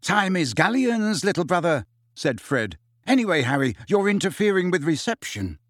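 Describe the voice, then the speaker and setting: A man's voice: high voice, sing-song, moderate pace, a bit bright, no echo, studio quality, pitched above the performer's own voice. A man voicing a cheeky young man who teases with mock seriousness. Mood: teasing.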